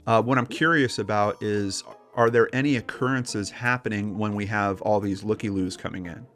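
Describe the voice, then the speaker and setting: A man's voice: on the low side, fairly even intonation, normal speed, a bit bright, faint music behind. A man co-hosting a casual paranormal discussion, talking informally and telling personal anecdotes with plenty of conversational asides. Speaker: podcast co-host.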